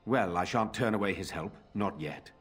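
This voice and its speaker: A man playing a nobleman uncle in a fantasy video game, with a rough, husky voice. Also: lowish voice, normal speed, a bit bright, soft background music. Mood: stern, reasoning. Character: nobleman uncle, freed from a demon's mind control.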